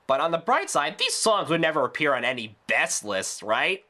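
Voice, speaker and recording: A man's voice: fairly high pitch, very animated, normal speed, over loud music. A man delivering a scripted ranting review in a sarcastic, exasperated, emphatic way. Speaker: video reviewer.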